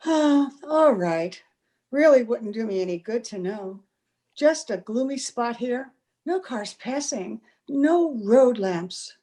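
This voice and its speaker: A woman's voice: a little high, expressive, slow pace, warm tone. A woman in an old-time radio play, acting an anxious, devoted young sweetheart in an earnest, fretful, melodramatic manner. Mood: uneasy. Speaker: amateur radio play actor. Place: home, over a video call.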